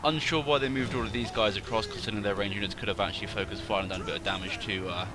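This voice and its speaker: A man's voice: lowish voice, steady tone, rapid-fire. Stuffy-nosed man rambling through game commentary. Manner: rambling, self-correcting, thinking aloud. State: slightly congested from a cold. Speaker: gaming channel host. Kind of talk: casual game replay commentary.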